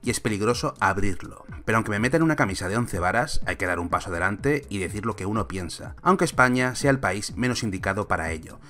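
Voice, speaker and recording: Sarcastic low-voiced Spanish man. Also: lively intonation, quick pace, few pauses, pushed and bright. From Spain. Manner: sarcastic, argumentative. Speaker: YouTube film commentator. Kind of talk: opinion vlog.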